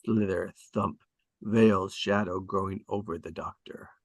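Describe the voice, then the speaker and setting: Lower-voiced man reading stage directions, close and dry. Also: steady tone, unhurried, no echo. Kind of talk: scripted screenplay narration at a table read.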